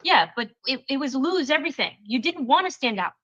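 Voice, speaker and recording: A woman's voice: sits high, very animated, a little fast, a bit bright. A woman co-hosting a casual talk show, explaining her points earnestly and with emphasis. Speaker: co-host. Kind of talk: casual chat. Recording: slightly dull lo-fi recording.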